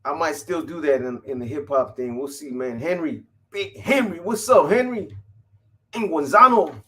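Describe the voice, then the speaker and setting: A man's voice: fairly high pitch, moderate pace, pushed and bright. A man in his fifties hosting a casual livestream, answering viewer comments in a laid-back, profane, slang-heavy way. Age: 50s.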